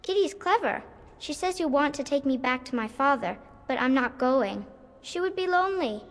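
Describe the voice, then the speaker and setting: A woman's voice: high voice, animated, normal speed, big-room sound. An actress playing a naive, devoted little girl in a video game. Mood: stubborn, innocent.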